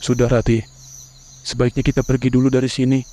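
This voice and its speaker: A man voicing a calm, cautious young husband in a horror cartoon, speaking gently to settle his wife. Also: mid-range voice, quick pace, lightly acted. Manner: gentle, calming.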